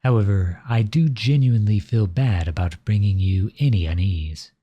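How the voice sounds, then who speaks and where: A man playing a flirtatious, silver-tongued royal at a masquerade ball in an ASMR roleplay, speaking in courtly, formal, flattering phrases with a husky voice. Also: on the low side, moderate pace, no room sound, highs cut off. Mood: earnest, coaxing. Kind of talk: ASMR roleplay, scripted romantic monologue addressed to listener.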